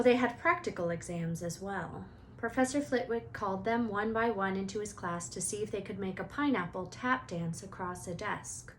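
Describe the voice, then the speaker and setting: A woman narrates a storybook aloud in an expressive way. Her voice is of middling pitch. There is faint noise in the background of the recording.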